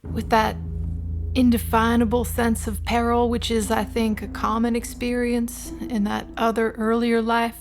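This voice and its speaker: Woman acting a solemn, high-voiced ghostly wife, music behind. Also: level tone. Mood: solemn, uneasy. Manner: measured, formal, literary delivery. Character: murdered wife's ghost, calmly recounting her death. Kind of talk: dramatic first-person reading of a gothic tale.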